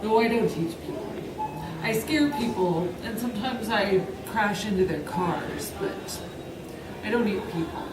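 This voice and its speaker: A woman voicing a quirky, deadpan moose puppet in a live children's show. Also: medium pitch, a little slow, voice pushed down, lightly acted. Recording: roomy, distant mic, noisy ambience.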